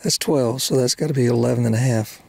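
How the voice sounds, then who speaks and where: A man's voice: medium pitch, fast, gravelly, darkish tone. An older man hosting a fishing video from a small boat on a lake, chatting folksily to the camera and to the fish he catches. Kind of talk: casual talk to camera while fishing. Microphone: camera mounted on the boat. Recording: faint outdoor noise.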